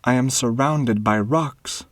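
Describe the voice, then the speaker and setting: A man's voice: mid-range voice, slow pace, no room sound, clean studio sound, voice pushed up, lightly acted. A man doing a pleading young baron. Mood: imploring. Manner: earnest, imploring.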